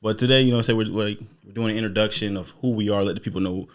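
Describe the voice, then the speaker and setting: A low-voiced man from South Georgia talks at a brisk clip in a loose, rambling podcast chat. He laughs easily as he goes. He sounds like a relaxed co-host. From South Georgia, United States. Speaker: podcast co-host, cyber analyst. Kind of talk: casual chat.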